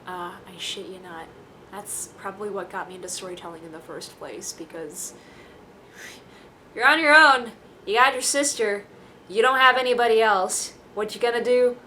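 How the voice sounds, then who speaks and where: A woman's voice: mid-range voice, moderate pace, sharp tone, a little hiss or hum, poor audio. Young woman, rambling casual vlog. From Taiwan. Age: young adult. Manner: self-deprecating, dryly joking, swears casually. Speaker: vlogger.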